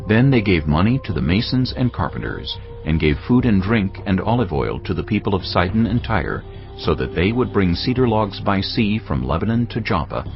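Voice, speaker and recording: A man giving a solemn, measured narration of scripture in a dramatised reading. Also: sits low, muffled recording. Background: background music.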